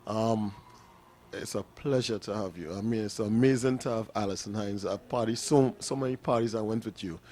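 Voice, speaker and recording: A man's voice: lowish voice, moderate pace, a little mellow, a little hiss or hum. A man hosting an interview show, asking enthusiastic, rambling questions full of fillers. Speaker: interview host.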